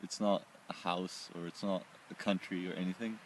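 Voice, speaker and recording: An Austrian man who grew up in several countries, answering personal questions reflectively in short phrases with pauses. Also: sits low, fairly even intonation, slight background noise, low-quality recording. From born in Oman, Austrian, grew up in several countries. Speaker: interviewee.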